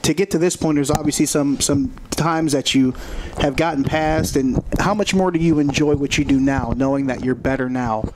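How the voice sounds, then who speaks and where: A man's voice: fairly high pitch, moderate pace, few pauses, noisy background. A man hosting a casual radio interview in a studio, with a joking, conversational manner. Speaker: radio host.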